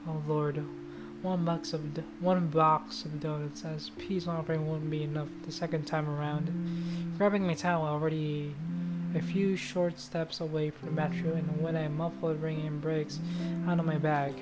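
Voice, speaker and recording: A woman's voice: deep voice, little pitch movement, normal speed. A woman game streamer reading a visual novel aloud in a casual, sweary manner, with guitar music behind her. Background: loud guitar music.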